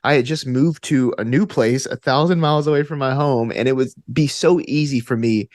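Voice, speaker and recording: A man's voice: medium pitch, a little fast, a little mellow, no echo. Earnest man with a dry close sound. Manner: earnest, thinking aloud, frequent "like" and "you know". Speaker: podcast guest, actor and tabletop gamer. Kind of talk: reflective conversational interview answers.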